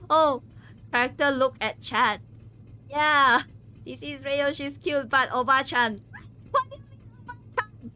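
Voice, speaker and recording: A woman's voice: high voice, lively intonation, slow, long pauses, sharp tone, a little hiss or hum. A woman from Malaysia, chatting casually with viewers on a game stream. She giggles often and stumbles over words.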